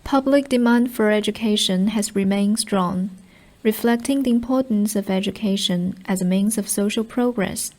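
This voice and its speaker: A woman reads a scripted passage aloud as a narrator, in a formal, measured manner. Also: mid-range voice, darkish tone. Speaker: narrator of model read-aloud answers.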